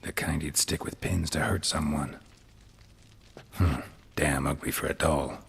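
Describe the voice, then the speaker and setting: An actor playing a grizzled male monster hunter in a video game, speaking in a gravelly, terse, dry way. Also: rumbling low, steady tone, measured pace, fairly bright tone, in a large hall, full character voice. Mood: wry.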